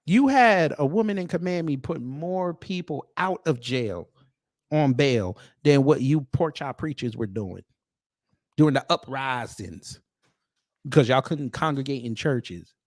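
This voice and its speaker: An American man delivers off-the-cuff opinion commentary as an online host. His manner is sarcastic and confrontational, leaning on pointed pauses. His voice sits at a medium pitch and is recorded dry and close, with little room sound. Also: expressive, a little mellow, no echo. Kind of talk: off-the-cuff opinion commentary, reading posts aloud.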